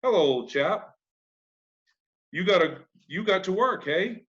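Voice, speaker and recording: A man's voice: high-pitched, lively intonation, takes it slowly, fairly bright tone, dull audio, pitched above the performer's own voice, full character voice. A man reading a children's classic, voicing a boastful, folksy young boy who barks orders and teases. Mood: teasing. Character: boastful young boy playing a steamboat captain.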